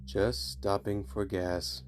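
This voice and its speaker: Slow, low-voiced man narrating creepy stories. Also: flat delivery. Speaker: podcast host reading stories. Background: faint music.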